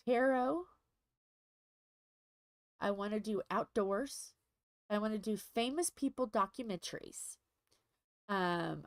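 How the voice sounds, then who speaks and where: A woman's voice: medium pitch, dry recording, studio quality. A middle-aged woman hosting a diamond painting livestream, chatting casually and rambling as she thinks aloud with many fillers, a little stuffy.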